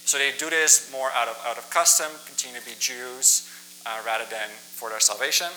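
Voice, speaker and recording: A man's voice: medium pitch, fairly even intonation, fairly quick, bright, forceful tone, slight background noise, rough recording. A young man, a native Dutch speaker from the Netherlands who moved to Canada as a child, gives a plainspoken, informal sermon as a guest preacher. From Netherlands, moved to western Canada at ten. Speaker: guest preacher, college staff member. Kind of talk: sermon with personal introduction. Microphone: church microphone.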